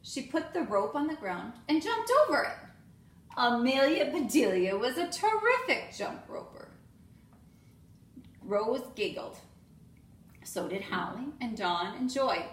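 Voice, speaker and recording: High-voiced woman reading a storybook aloud. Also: measured pace, long pauses. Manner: expressive, addressing young children. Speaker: teacher reading aloud to children. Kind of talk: animated children's storybook read-aloud. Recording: echoey room with faint noise.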